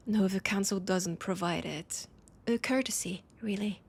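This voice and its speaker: A woman acts out a poised vampire lady with a French accent in an intimate audio drama. She speaks slowly and breathily, often sinking toward a whisper, teasing an old rival while staying guarded. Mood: playful, wry. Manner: slow, low-key teasing with breathy, near-whispered phrases. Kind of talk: intimate audio roleplay acting.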